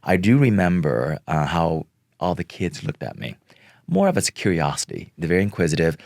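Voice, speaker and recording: Man, middle-aged, from Vietnam, native Vietnamese speaker, very deep, earnest, slow with pauses. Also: very animated, vocal fry, a little mellow, no echo, studio quality. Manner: slow, earnest, pausing often with fillers. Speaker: guest. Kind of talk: reflective interview talk.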